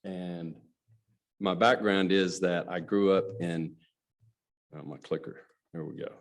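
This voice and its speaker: A man's voice: sits low, fairly even intonation, slow. A middle-aged man giving an earnest luncheon speech as a company president. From Alabama, USA. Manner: earnest storytelling, addresses audience directly. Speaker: guest speaker, company president.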